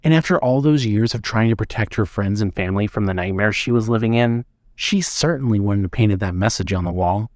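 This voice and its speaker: A man reading scripted narration for an audio drama in a measured way. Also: on the low side, a little mellow, no echo, studio quality.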